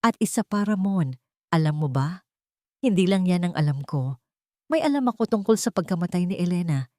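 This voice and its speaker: A woman's voice: fairly low pitch, lively intonation, normal speed, warm tone, no echo, studio quality, lightly acted. A woman voicing a scheming, deceitful wife in an audio drama story, pleading and defiant. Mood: threatening. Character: scheming deceitful wife, desperate, defensive, turning threatening.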